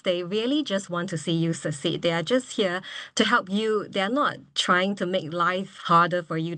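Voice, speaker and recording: A woman's voice: fairly low pitch, fairly bright tone, dry recording. A woman in her thirties from Singapore, speaking as an MBA graduate guest on a webinar. She talks frankly and cheerfully over a video call, and laughs easily. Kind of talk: candid webinar testimonial.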